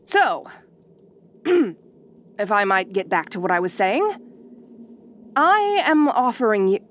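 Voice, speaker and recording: A woman plays a proud ice queen who rules every sentence. She speaks slowly and formally, with sweeping, expressive intonation. Her manner is bossy and condescending, with a guarded fondness underneath, in an intimate audio roleplay addressed to the listener. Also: mid-range voice, a little hiss or hum. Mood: condescending, amused. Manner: imperious, formal, drawn-out condescending phrasing.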